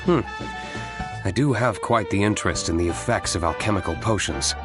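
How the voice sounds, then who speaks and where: An actor playing a composed, courteous young wine magnate in a video game, with a measured, formal manner. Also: male voice, on the low side, animated, music in the background, lightly acted. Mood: intrigued.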